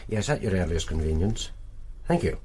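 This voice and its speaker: An actor playing a dry, weary, matter-of-fact police sergeant in a radio drama. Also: male voice, low-pitched, animated, normal speed, heavy background noise. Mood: businesslike. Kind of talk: naturalistic radio drama acting.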